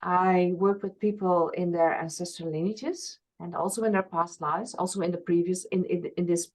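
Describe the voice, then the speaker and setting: A woman's voice: on the low side, fairly even intonation, normal speed, a little mellow, rough recording. A woman from Friesland with a Dutch accent, a past life regression therapist talking earnestly and openly as an interview guest. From Friesland, Netherlands. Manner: earnest, open, laughs at herself. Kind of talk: reflective conversational interview.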